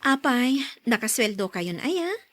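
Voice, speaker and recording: A woman's voice: a little high, very animated, measured pace, fairly bright tone, no room sound. A woman acting an affectionate, thrifty young wife who is easily surprised, in an Ilocano-accented radio drama. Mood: curious.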